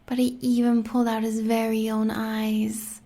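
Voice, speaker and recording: A bloodthirsty female werewolf huntress with an American accent , played by a voice actress. She talks slowly in a fairly high, breathy murmur, playful and adoring yet sadistic, in intimate audio drama style. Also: monotone, mellow. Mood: sadistic delight. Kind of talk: audio roleplay acting.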